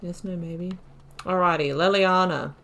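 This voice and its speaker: A woman's voice: on the low side, fairly even intonation, measured pace, vocal fry, fairly bright tone. A woman giving casual let's play commentary as a gaming YouTuber, chatty and musing as she thinks aloud.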